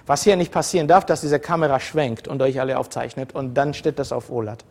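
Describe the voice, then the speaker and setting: A man's voice: medium pitch, fairly even intonation, fairly quick, a little mellow. A man from the former Soviet Union, a university lecturer who speaks informally to his students, wandering off topic with frequent fillers and restarts. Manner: digressive and conversational, many fillers and self-corrections.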